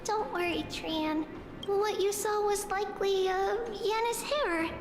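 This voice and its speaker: A woman's voice: very high voice, slow, echoey room, noisy background. A woman voicing a small, innocent girl in a fantasy video game, childlike, earnest and a little timid. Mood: gentle, uneasy.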